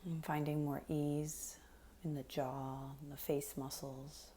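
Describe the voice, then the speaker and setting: A woman leading a guided meditation in a soft, breathy, unhurried voice that often nears a whisper, giving gentle, soothing instructions. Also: low voice, flat delivery, slight background noise.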